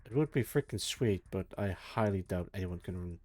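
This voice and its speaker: A low-pitched man speaks softly on a home desk mic, sleepy and meandering. He mutters half to himself between remarks to his viewers. A faint energetic soundtrack plays under his voice. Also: normal speed. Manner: soft, meandering, mumbles to himself, trails off. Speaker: streamer. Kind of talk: casual live-stream chat while working. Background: faint energetic soundtrack music.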